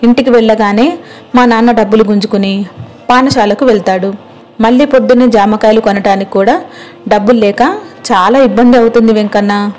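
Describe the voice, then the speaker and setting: A woman narrator plays a young village fruit seller in a storybook tale. Her voice is fairly high, weary and plaintive as she complains. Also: fairly even intonation, fairly quick, darkish tone, natural voice. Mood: weary, complaining. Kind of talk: acted moral story dialogue.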